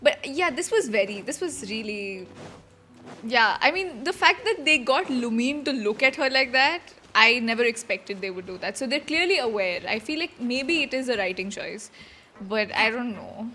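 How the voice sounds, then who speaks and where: A woman's voice: fairly high pitch, expressive, normal speed, bright, forceful tone, slight background noise. A woman streamer giving casual live reaction commentary on a video game.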